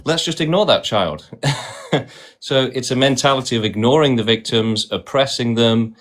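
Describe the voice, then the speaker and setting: A man's voice: medium pitch, moderate pace, a bit bright. A man hosting a debate stream, arguing insistently and often tagging his points with "right?". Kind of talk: argumentative debate.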